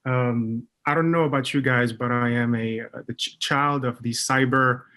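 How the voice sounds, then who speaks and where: A man's voice: mid-range voice, fairly even intonation, moderate pace, bright, forceful tone. Hesitant Moroccan-raised man, guest. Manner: hesitant, many restarts and fillers. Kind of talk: casual panel chat.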